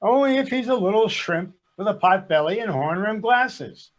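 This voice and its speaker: Actor as a grumpy husband, swooping exasperated delivery. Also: male voice, high voice, animated, normal speed. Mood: contrary. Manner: exasperated, sarcastic, contrary. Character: reluctant, grumpy, argumentative husband at couples counseling. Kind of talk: comic readers theater sketch.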